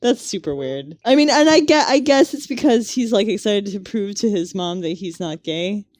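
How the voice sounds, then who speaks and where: A woman's voice: medium pitch, expressive, normal speed, darkish tone, dry recording, clean studio sound. A woman co-hosting a podcast in casual, conversational chat. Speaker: podcast co-host.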